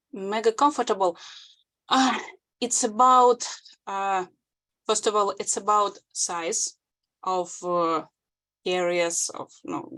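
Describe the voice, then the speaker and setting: Slow, hesitant Russian-accented woman. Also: mid-range voice. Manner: hesitant, searching for words in non-native English. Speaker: conference speaker. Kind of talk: halting informal presentation. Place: online video call.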